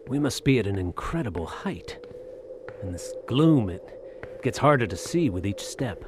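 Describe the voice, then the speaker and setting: An actor playing a bold, greedy Western soldier of fortune in an audio drama, speaking in halting, theatrical phrases. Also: male voice, lowish voice, sing-song, background noise. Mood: resolute.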